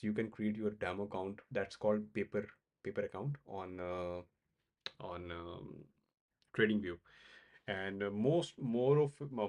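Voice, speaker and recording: Man from Pakistan, low voice, halting casual livestream talk full of "uh" and repeats. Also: a little mellow. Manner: halting, many fillers and repeated words. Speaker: crypto trading livestream host. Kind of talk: casual live-stream chat answering viewers.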